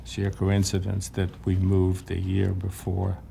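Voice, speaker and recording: Old guy, super deep gravelly monotone, dark mellow sound. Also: measured pace, slight background noise. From Lithuania. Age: older. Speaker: Holocaust survivor interviewee. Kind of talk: testimony interview.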